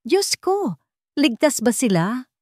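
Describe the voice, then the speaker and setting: A woman's voice: high voice, very animated, takes it slowly, dry recording, studio quality, pitched above the performer's own voice, heavily acted. A woman voicing a scheming, greedy aunt who feigns concern, calculating and dramatic, in a storybook drama. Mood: feigned alarm.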